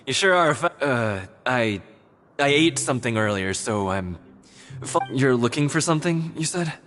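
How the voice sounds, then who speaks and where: A man's voice: mid-range voice, lively intonation, normal speed, a bit bright, long reverb tail, faint music behind, lightly acted. An actor playing a timid, flustered man in a video game, stammering and hesitant. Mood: nervous. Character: timid, flustered society member, a nervous man. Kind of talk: video game character acting.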